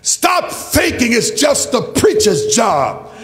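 A man's voice: very high-pitched, wildly swooping pitch, slow pace, fairly bright tone. A man preaching an impassioned church sermon in a declamatory, emphatic way, with repeated rhetorical phrases. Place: large church. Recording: reverberant hall, faint music.

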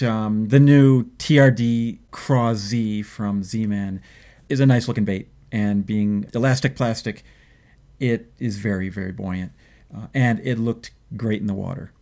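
A man in his fifties, hosting a fishing video, explains his tackle choices in a measured, thoughtful way with frequent ums and uhs, filmed indoors at a desk. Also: lowish voice, a little mellow. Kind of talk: instructional fishing talk. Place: indoor home office.

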